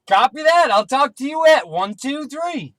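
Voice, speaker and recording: A man with a high-pitched, bright voice works a CB radio. He talks in casual two-way radio style, checking in as an operator would. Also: very animated, moderate pace. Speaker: CB radio operator testing range from a car.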